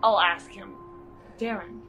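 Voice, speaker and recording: A woman's voice: medium pitch, fairly even intonation, takes it slowly. A woman speaking casually as a player in a tabletop roleplaying game, in and out of character. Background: music.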